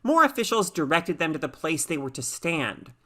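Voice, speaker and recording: A woman reading a short story aloud as an expressive, dramatic narrator. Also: sits low, normal speed. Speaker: story reader.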